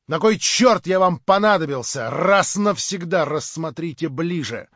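Man reading as a haughty contemptuous young aristocrat, curt, a bit high, muffled dry sound. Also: expressive, measured pace, a bit bright, dry recording, exaggerated voice. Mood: scornful. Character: cold proud young nobleman, contemptuous and angry. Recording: dull lo-fi dry recording.

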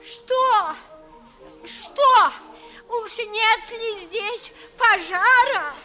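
An actress playing a querulous, half-deaf old countess grandmother in a radio play, halting and exclaiming, asking again loudly. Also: extremely high pitch, heavily acted. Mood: deaf, bewildered. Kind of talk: 1950s Russian radio stage acting. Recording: 1950s radio theatre recording, faint music.

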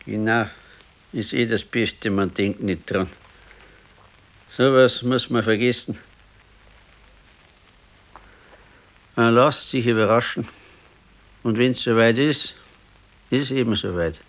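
Brooding old Bavarian pensioner played by an actor. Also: male voice, mid-range voice, unhurried, pauses often, close to an everyday voice, rough recording. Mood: anxious, resigned. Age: 60s. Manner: plain, colloquial, brooding complaint. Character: gloomy ailing old pensioner husband, fearful and brooding. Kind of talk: naturalistic radio play dialogue.

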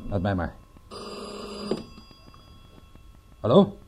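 A man's voice: on the low side, big pitch swings, fairly quick, dull audio, noisy background. A man playing an agitated, self-doubting but dogged private detective in a Dutch radio play. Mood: guarded. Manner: stammering, restless, self-reproaching. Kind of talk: Dutch radio play dialogue and first-person narration.

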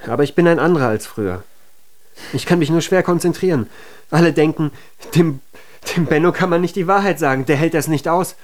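Radio drama casting: man playing a brooding, insecure young male, fairly high-pitched, halting and self-accusing. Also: natural voice. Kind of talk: German radio drama acting.

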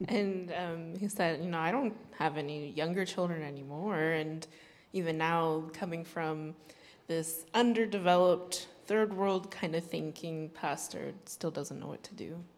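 Lady interpreting a sermon, lowish voice, echoey hall. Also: slow. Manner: steady, plain relaying of the pastor's words. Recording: hall reverb.

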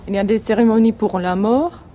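A woman's voice: medium pitch, brisk, dark tone, a little hiss or hum. A woman interpreting an interviewee's answers into French, plainly and in the first person.

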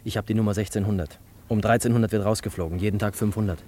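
A man acts out an earnest young engineer and refugee in an old radio drama. His voice sits low and he pleads with hesitant urgency. A faint hiss lies over the recording. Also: steady tone, racing, dark tone, natural voice. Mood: hopeful. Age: young adult.

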